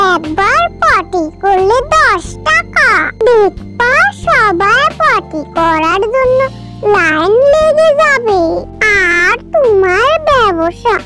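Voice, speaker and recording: A performer voicing a bossy, clever female bird wife in a children's cartoon, emphatic as she scolds and coaxes, with loud music over the voice. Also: extremely high pitch, full character voice. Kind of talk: children's fairy-tale cartoon dialogue.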